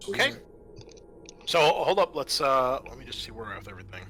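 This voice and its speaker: Bright-voiced man running a tabletop game. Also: mid-range voice, big pitch swings, a little fast. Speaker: game master.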